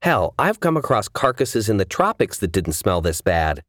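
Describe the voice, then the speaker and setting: A man's voice: fairly low pitch, sing-song, moderate pace, a bit bright, no room sound, clean studio sound. A man voicing a tough, blunt young woman mage in an audiobook, terse and profane. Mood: shocked disgust. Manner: terse, muttering, swearing.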